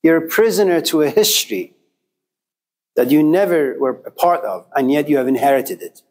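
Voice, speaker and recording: A man's voice: a little high, animated, moderate pace, darkish tone. A man of Persian background giving a classroom lecture, speaking rhetorically and driving points home through emphatic repetition. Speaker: teacher.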